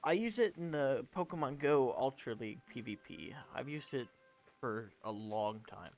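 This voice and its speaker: A man's voice: mid-range voice, expressive, normal speed. A twenty-year-old man casually chatting while streaming a game, rambling and answering viewers as he plays. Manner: rambling, self-correcting, answering chat. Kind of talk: casual gaming livestream chat.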